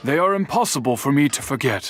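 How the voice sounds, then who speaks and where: A man playing an old, patient master swordsmith in a video game, speaking in a measured, formal, lecturing way. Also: mid-range voice, animated, music in the background, lightly acted. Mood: calm. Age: older.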